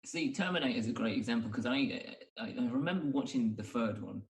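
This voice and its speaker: A man's voice: mid-range voice, animated, fairly quick, far from the mic, rough recording. A man chatting casually about films, speaking thoughtfully and often rephrasing as he goes. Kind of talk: casual film chat.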